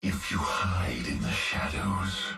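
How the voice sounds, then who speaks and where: A man voicing a brutal, merciless cyborg brute through a mask filter, deep and gravelly. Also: lively intonation, takes it slowly, sharp tone, reverberant, recorded from a distance, faint music behind, full character voice. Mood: menacing.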